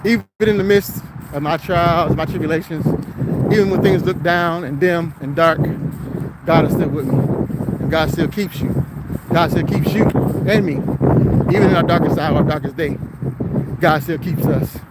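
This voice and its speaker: A man's voice: a little high, normal speed. An American man rambling casually on a livestream, repeating his phrases for emphasis in an encouraging, preacherly way. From South Florida, United States. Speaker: livestreamer and commercial driver. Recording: noisy outdoor wind and traffic noise.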